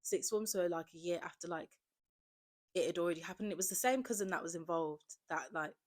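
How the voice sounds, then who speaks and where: A woman's voice: fairly low pitch, brisk. A woman, talking candidly in a casual confessional monologue, often saying "like". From Birmingham area, England. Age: 20s. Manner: candid, conversational, heavy use of "like". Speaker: podcast host.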